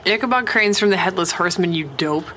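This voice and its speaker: A woman's voice: lowish voice, fast, pushed and bright, a little hiss or hum. A woman playing a tipsy, teasing friend who wryly addresses listeners in a found-footage horror audio drama.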